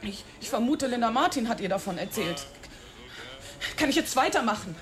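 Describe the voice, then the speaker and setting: A jittery young woman who works as a movie extra, speaking high and bright and tripping over her words as she rushes them out in a radio play. she stammers and hurries. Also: very animated. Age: young adult. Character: young woman, aspiring film extra, nervous and chatty. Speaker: witness. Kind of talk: German radio drama acting.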